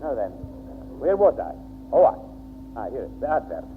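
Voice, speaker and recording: An actor playing a grumpy, easily exasperated old grandad with a Northern English accent in a 1960s British radio sitcom. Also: male voice, mid-range voice, sing-song, moderate pace, creaky. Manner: blustering, exasperated. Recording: muffled, noisy 1960s radio recording.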